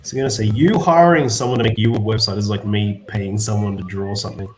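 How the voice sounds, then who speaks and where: A man's voice: on the low side, fairly even intonation, fast, soft background music. A man co-hosting a drawing stream, joining in the casual chat with dry, teasing remarks.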